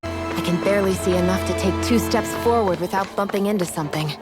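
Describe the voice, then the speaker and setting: A woman's voice: medium pitch, fast talker, natural voice. Woman acting curt, aloof young game heroine. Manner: curt, cool, impatient. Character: aloof, prickly, blunt young woman healer. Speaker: game character voice. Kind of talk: scripted English game voice acting. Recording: background music under dialogue.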